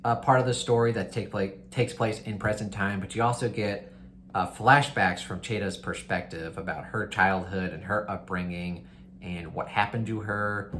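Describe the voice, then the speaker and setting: A man's voice: fairly low pitch, steady tone, moderate pace, a bit bright, a little hiss or hum. A man chatting casually and enthusiastically to viewers as a book reviewer, in an informal, conversational way. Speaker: book reviewer hosting a readalong. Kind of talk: casual chat to viewers, recommending books.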